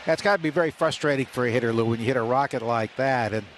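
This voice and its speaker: A man's voice: medium pitch, fast talker. An older man giving live radio play-by-play of a baseball game as the announcer. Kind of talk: live sports commentary. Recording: faint stadium ambience noise behind. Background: ballpark ambience.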